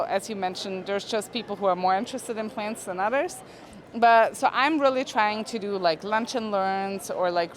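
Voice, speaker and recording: Woman in her twenties, relaxed and hedging, interviewed on a trade show floor. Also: medium pitch. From Austria. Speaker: plant product manager. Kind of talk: casual interview.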